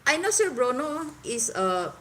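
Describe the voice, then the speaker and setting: A woman's voice: high-pitched, very animated, unhurried, a bit bright, a little hiss or hum. A woman from the Philippines with a Visayan Filipino accent, chatting on a live stream and stopping often to search for words in English. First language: Cebuano. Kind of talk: casual live stream chat with viewers. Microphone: phone.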